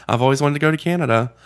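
A man's voice: mid-range voice, clean tone. A man co-hosting a podcast in casual chat who laughs often. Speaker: podcast co-host.

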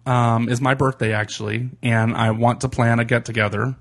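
A man co-hosts a loose, casual podcast from a home setup. He talks in a chatty, teasing way and giggles between lines. His voice sits at an everyday pitch. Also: level tone, fairly quick, gravelly, fairly bright tone. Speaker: podcast co-host.

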